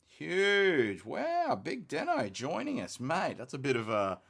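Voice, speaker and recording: A man's voice: on the high side, slow, creaky. A man from Australia with a rather posh-sounding accent, chatting casually while he paints on a live hobby stream. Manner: relaxed, chatty, self-deprecating.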